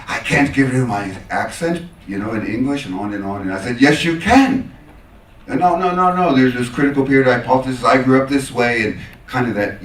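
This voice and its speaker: A man from the United States giving a talk and answering audience questions, telling anecdotes and acting out the exchanges he quotes. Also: medium pitch. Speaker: academic lecturer taking audience questions. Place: echoey lecture room at a conference. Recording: roomy echo, distant microphone.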